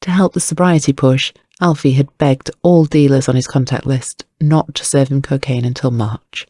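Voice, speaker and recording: A man's voice: medium pitch, steady tone, moderate pace, mellow, no echo, studio quality. A man narrating scripted crime fiction as an audiobook, with wry, literary storytelling.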